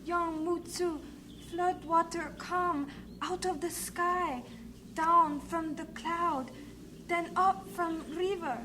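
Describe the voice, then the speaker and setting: A woman's voice: very high-pitched, steady tone, takes it slowly, pushed and bright, a little hiss or hum, exaggerated voice. A woman playing a deferential Chinese servant in an old-time radio drama, speaking formal broken English. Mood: anxious, deferential.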